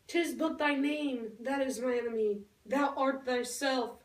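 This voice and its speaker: A lovesick, dramatic teenage girl in a puppet play , voiced by a woman declaims verse in a very high voice at a slow pace. The room echoes heavily around the distant voice. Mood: yearning. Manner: slow, theatrical declaiming with big swells. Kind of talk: Shakespeare recited for a school puppet show. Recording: echoey room, far from the mic.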